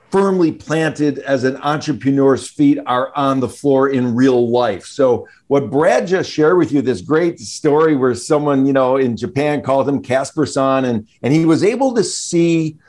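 A man's voice: medium pitch, darkish tone. A man hosting an interview show, asking long rambling questions and praising his guest enthusiastically.

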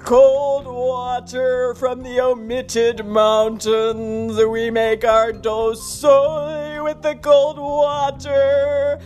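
A woman playing a mock-solemn baritone reciter, reading product copy in a theatrical, overdramatic manner. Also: completely flat delivery, drawn-out words, hardly any pauses, a little mellow, faint music behind.

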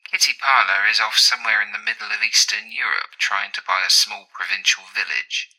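A man playing a lazy, irreverent, jaded superhero in a comic audio drama, sardonic and offhand with a raspy voice. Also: on the low side, brisk, pushed and bright, poor audio. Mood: deadpan, offhand.

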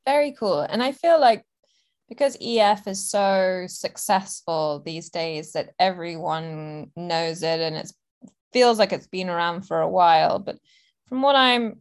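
A woman from Hong Kong hosting an interview, asking curious, upbeat questions. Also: on the low side. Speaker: podcast host.

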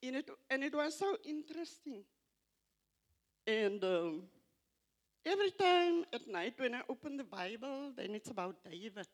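An older woman with an Afrikaans accent, English not her first language, slowly reading scripture aloud and reflecting on it at a church gathering, halting and repeating phrases. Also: high voice, sing-song. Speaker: church member giving a tribute.

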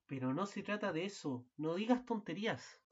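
A man's voice: high-pitched, expressive, moderate pace, breathy edge, highs cut off. A young man, a student actor, playing a fussy, weary husband who grows sinister in an amateur radio drama. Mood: irritable. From Chile. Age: young adult.

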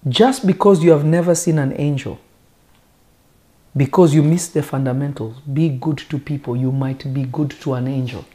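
Mellow-voiced male preacher, native Lingala speaker. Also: on the high side. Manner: emphatic, deliberate, with repetition and rhetorical questions. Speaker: preacher teaching scripture. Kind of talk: conversational teaching sermon.

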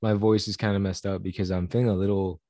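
A young man with a very low voice that sounds stuffy and congested. He talks in a laid-back, rambling way and often restarts his sentences. The recording is close and dry, with no room echo. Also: flat delivery, quick pace, mellow. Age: young adult. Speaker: podcast co-host. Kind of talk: casual chat. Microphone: video call.

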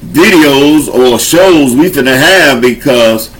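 A man's voice: medium pitch, lively intonation, slow pace, low-quality recording. A man hosting a show and preaching in a folksy, conversational way, urging his listeners along. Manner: folksy, conversational preaching with call-and-response encouragement. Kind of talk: informal sermon teaching.

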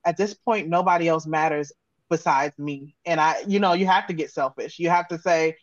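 A woman's voice: low voice, normal speed, no echo, muffled recording. A woman giving casual, candid and reflective interview answers, often voicing her own self-talk. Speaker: interview guest, audio drama creator.

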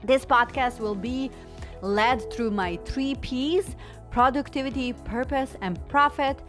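A woman's voice: high voice, slow pace, fairly bright tone, faint music behind. Effusive woman podcast host. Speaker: podcast host and business coach. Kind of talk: podcast intro and interview.

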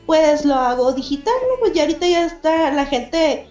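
Chatty high-voiced woman on a call. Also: normal speed, a little mellow, roomy sound, dull audio, faint music behind. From Mexicali, Baja California, Mexico. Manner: chatty, many fillers and restarts, laughs often. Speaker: guest, cartoonist and event organizer. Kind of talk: casual interview chat.

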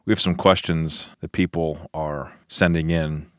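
A man's voice: a bass voice, level tone, measured pace, no room sound, studio quality. A man speaking as a podcast host and pastor, in a casual, conversational way.

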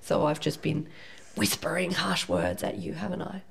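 A woman, her voice croaky from coughing, chatting casually as a co-host with lots of fillers and laughs. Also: low-pitched, very animated, normal speed, a little hiss or hum. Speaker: podcast co-host. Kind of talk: casual chat.

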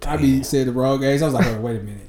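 A man in his late twenties chatting casually with friends, telling stories in lively slang and jumping up in pitch when he quotes himself. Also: racing, over a noisy crowd. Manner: animated storytelling, slangy, jumps high when quoting himself. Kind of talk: casual podcast chat.

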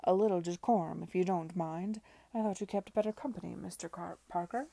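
A woman's voice: medium pitch, normal speed, dark tone, slightly rough. Woman voicing a mockingly genteel male gambling-den villain. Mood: affronted. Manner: affected politeness, making a show of affront. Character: smooth, mockingly genteel male gambling-house owner, low-born villain.

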